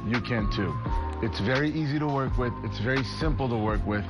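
A man in his forties talks up his workshop classes in a cheerful, chatty sales voice with a mid-range pitch and a few wisecracks. He is close on a lapel mic in an indoor workshop, and loud background music runs under him the whole time. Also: a little fast. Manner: upbeat, chatty, joking salesman tone. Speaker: woodworking shop owner. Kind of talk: promotional interview to camera.

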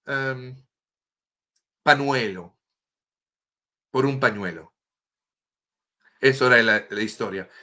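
A middle-aged man from France talks in Spanish with a clear French accent, his bright, forceful tone carrying over a webcam call from a room at home. He tells his story earnestly and often stops to restart a word he is hunting for. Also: medium pitch. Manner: earnest, restarts words while searching for Spanish terms. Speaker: author and guest. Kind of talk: impassioned storytelling on a video call. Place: home room with a bookshelf and statue. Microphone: webcam on a video call.

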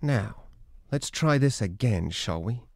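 A young male hero of a fantasy adventure game. His voice sits at a middle pitch and sounds dry, with no room around it. The lines are scripted game dialogue. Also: expressive, slow, no room sound. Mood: measured.

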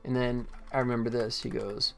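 Gravelly American man thinking aloud. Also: medium pitch, little pitch movement, normal speed, faint music behind. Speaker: game developer livestreaming. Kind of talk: casual chat while working.

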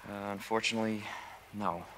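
A man's voice: fairly low pitch, flat delivery, brisk, close to an everyday voice, rough recording. A man with an American accent playing an earnest, protective young police officer in a video game cutscene. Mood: gentle, reassuring. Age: young adult. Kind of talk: cinematic game cutscene acting. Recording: loud rain and vehicle noise behind.

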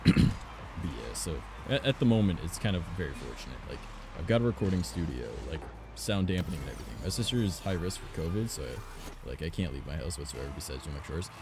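A young man from Canada with a raspy voice, chatting casually and rambling as a streamer, filling his talk with "like" and "um". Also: sits low, animated, fast, background noise. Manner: rambling, frequent "like" and "um". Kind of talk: casual chat while gaming. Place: home recording studio.

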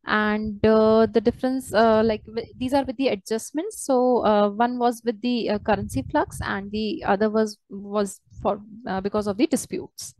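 A woman walking through a software tutorial step by step as a trainer, in a hesitant, halting way. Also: on the high side, fairly even intonation, dry recording. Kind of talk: screen-share software tutorial, unscripted walkthrough.

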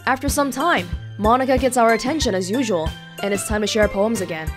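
A woman's voice: on the high side, brisk. A woman hosting a let's play, reading a visual novel aloud expressively and adding her own reactions. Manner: expressive, acting out dialogue. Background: soft game music with guitar.

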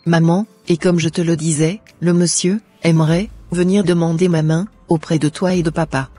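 A man plays an earnest young woman in a cartoon. The voice is raised in pitch, dark and husky, speaking in a measured way over music. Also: flat delivery, dry recording. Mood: earnest, subdued. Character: earnest young woman, obedient yet devoted to her love. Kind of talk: scripted cartoon dialogue.

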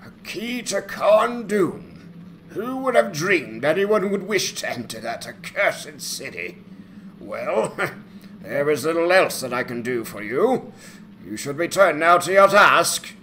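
A man playing a hearty, excitable dwarf smith in a fantasy game dramatization, exclamatory and gleeful. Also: on the high side, takes it slowly, slight background noise, exaggerated voice. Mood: excited, jolly.

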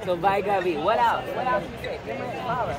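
Young woman vlogging in a loud arena. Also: mid-range voice, normal speed. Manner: animated, laughing, teasing. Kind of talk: casual chat, switching between English and Portuguese. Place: large indoor arena at a sports tournament. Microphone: phone held at arm's length. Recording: loud crowd noise from the arena.

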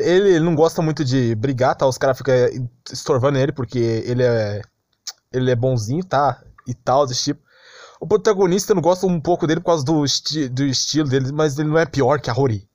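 A man's voice: a little high, darkish tone, no echo, clean studio sound. A young Brazilian man giving a casual, slangy, unscripted rant in an exasperated, complaining way. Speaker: anime commentary vlogger. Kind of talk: casual unscripted ranting commentary.

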